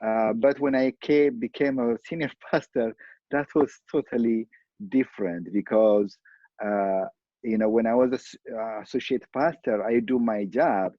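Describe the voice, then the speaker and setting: A middle-aged man from Ethiopia with an Ethiopian accent, a pastor talking in a podcast interview in an earnest, halting way with many fillers. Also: medium pitch, no room sound, dull audio. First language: Amharic.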